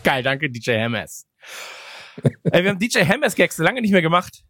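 A man's voice: fairly high pitch, sing-song, normal speed, pushed and bright, no room sound. Rambling podcast man, dry sound. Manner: rambling, many fillers, laughs while talking. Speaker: podcast co-host. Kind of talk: casual podcast chat.

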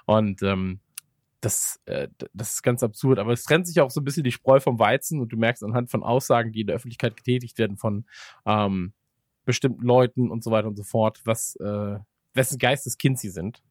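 A man hosts in a loose, conversational way, with a mid-pitched voice. He rambles from thought to thought and slips in ironic jokes. The sound is dry, with very little room in it. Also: brisk, a little mellow, no room sound. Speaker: podcast host. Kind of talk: casual chat.

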